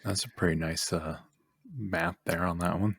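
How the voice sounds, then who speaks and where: Deep, gravelly male podcast voice. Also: steady tone, normal speed, no room sound. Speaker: podcast co-host. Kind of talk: casual chat about favorite games.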